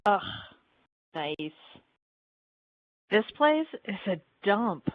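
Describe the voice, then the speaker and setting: A woman's voice: medium pitch, very animated, extremely slow, no echo, poor audio. A woman playing an earnest, plucky young female alien in a sci-fi game audio drama, a little unsure of herself. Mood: tense, plucky. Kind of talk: fan audio drama, game-story character acting.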